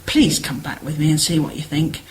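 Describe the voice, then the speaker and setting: A woman's voice: deep voice, steady tone, brisk, darkish tone, slight background noise, lightly acted. A woman acting a chatty, concerned village sister in an amateur radio play, gossipy and persuasive. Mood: pleading.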